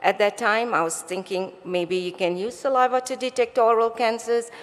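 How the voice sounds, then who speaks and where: A woman's voice: a little high, fairly even intonation, normal speed. A middle-aged woman from Botswana, born in Sri Lanka, giving an explanatory conference talk as a research scientist. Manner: explanatory, asks rhetorical questions. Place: conference stage in a large hall. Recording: reverberant large hall.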